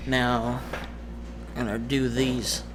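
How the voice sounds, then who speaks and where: A man acting as game master, casually narrating a tabletop roleplaying game and thinking aloud in a halting, muttering way. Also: mid-range voice, level tone. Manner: halting, muttering to himself while working out numbers. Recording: noisy room with background ambience.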